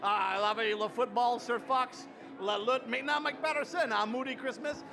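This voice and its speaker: A man's voice: very high-pitched, fairly even intonation, moderate pace, a crowd in the background. A man whose first language is English, speaking French as a comedian guest. He talks in a boisterous, hyped-up way, drops English phrases into his French and lets out whoops. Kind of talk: hyped-up festival interview.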